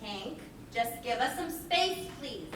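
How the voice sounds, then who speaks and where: A woman's voice: fairly high pitch, big pitch swings, slow pace, a bit bright, full character voice. A woman on stage playing a cocky, playful boy adventurer, boisterous and casual. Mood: scolding. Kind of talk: live stage comedy acting. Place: theatre stage, live performance. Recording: very echoey theatre, distant mic, noisy.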